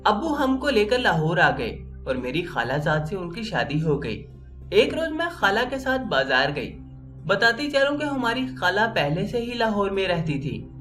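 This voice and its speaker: Female storyteller for sentimental fiction: expressive, animated pitch, light music bed. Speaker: storyteller, narrator. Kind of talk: dramatic first-person scripted narration of an Urdu story.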